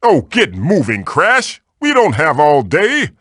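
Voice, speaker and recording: A man's voice: fairly high pitch, huge pitch swings, takes it slowly, fairly bright tone, no echo, studio quality, heavily acted. A man voicing an old magical mask, a bossy, wisecracking mentor guiding the player in a video game with theatrical, wry delivery. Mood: urgent, impatient.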